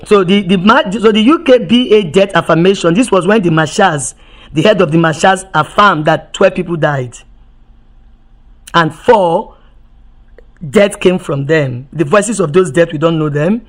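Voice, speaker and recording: A woman's voice: fairly low pitch, unhurried. A woman activist giving impassioned testimony, emphatic, often repeating and restarting words and firing off rhetorical questions.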